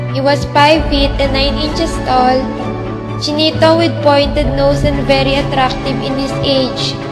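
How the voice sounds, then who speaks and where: High, slow female audiobook narrator in reverb. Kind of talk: dramatized first-person story narration. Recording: long hall reverb under loud music. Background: clear background music.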